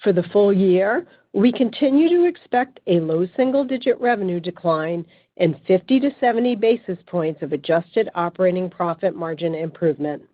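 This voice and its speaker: A woman's voice: lowish voice, lively intonation, normal speed, no echo. Female finance chief for a scripted earnings report, formal and businesslike, dry, thin telephone conference sound. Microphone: phone conference line. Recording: tinny, narrow phone conference line.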